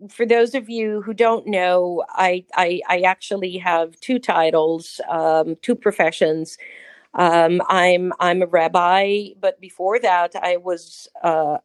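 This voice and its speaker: A middle-aged woman with an Israeli accent talks about her life as a rabbi and cantor. Her voice sits somewhat low and sounds close and dry. She speaks effusively and often restarts her phrases. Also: dry recording. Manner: warm, effusive, with frequent restarts. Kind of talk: conversational interview answer.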